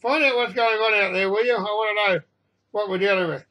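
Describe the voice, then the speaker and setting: Storybook role: stern airline captain acted by a man, urgent, bossy, high-pitched and forceful. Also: fairly even intonation, brisk, rough recording. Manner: urgent, commanding, blustering.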